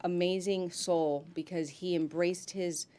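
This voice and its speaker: A woman who grew up in Miami, an actress guest chatting in an interview, warm and effusive, with frequent ums and restarts. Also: on the low side, fairly even intonation, a little slow. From grew up in Miami, United States. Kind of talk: casual interview chat.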